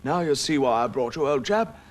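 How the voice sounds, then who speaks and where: A man's voice: medium pitch, animated, a little fast, a little hiss or hum, voice pushed up, lightly acted. A man voicing a hearty, bossy male mouse leader in a storybook. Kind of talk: storybook character voice.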